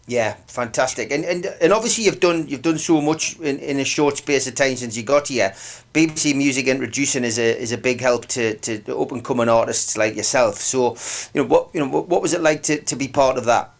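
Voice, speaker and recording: A man hosting a casual radio interview, halting and repeating his words often. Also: mid-range voice, creaky. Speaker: radio host.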